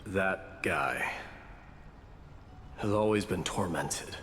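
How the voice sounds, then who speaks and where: A man voicing a proud, stern warrior prince in a video game, speaking formally and bluntly, with deliberate courtesy. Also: sits low, a bit bright, slight background noise, full character voice. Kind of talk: English video game voice acting. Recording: long reverb, clear music behind.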